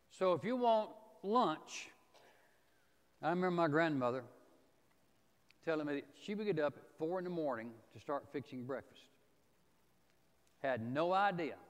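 This is a middle-aged man with a notably high voice. He teaches scripture like a preacher, folksy and emphatic, setting phrases apart with pauses. The long reverb of a big church hall trails his words. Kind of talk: expository Bible teaching, reading and paraphrasing scripture. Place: large empty church auditorium, indoors.

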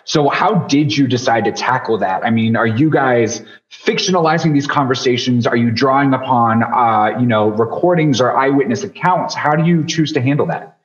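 A man's voice: medium pitch, moderate pace. A man in his thirties interviewing conversationally as a host over a video call. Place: home room with posters, indoors. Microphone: video call, headphones, boom-arm microphone. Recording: dull, echoey video call audio.

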